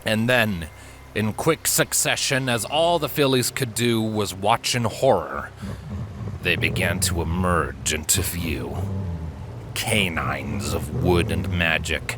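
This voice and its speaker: A man reading a story as an audiobook narrator, with dramatic, suspenseful and deliberate phrasing. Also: low voice, background noise.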